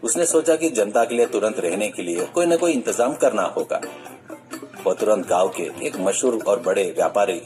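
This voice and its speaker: A man's voice: on the low side, expressive, normal speed. A man reading a scripted story as narrator. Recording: music bed under the voice. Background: music.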